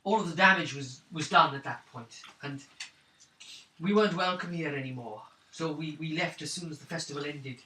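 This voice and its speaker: A man voicing a shaken, wounded young woman of a wandering clan in a tabletop roleplaying game, speaking haltingly and wearily. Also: a little high, bright, forceful tone. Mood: weary, bitter. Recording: roomy, hissy tabletop recording, distant mic.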